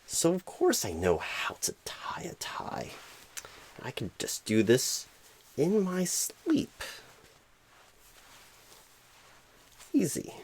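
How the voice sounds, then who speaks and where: A clueless, flustered male job recruiter for an ASMR comedy roleplay. His voice is husky and gravelly, leaping up and down in pitch. He talks slowly, stammering and breaking phrases in odd places. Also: darkish tone, full character voice. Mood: flustered denial. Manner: halting, stammering, odd pauses and sudden swoops.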